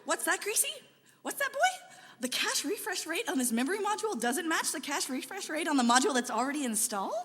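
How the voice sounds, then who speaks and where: A bright tinkering heroine played by a woman in a pulp sci-fi radio comedy. Her high voice swoops animatedly in a reverberant hall. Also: big pitch swings, fast talker. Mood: chirpy, encouraging. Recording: reverberant hall, live stage.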